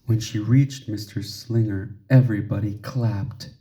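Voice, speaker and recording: A man reading a children's story aloud expressively, with measured pauses and emphasis for drama. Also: lowish voice, steady tone, mellow. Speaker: storybook reader.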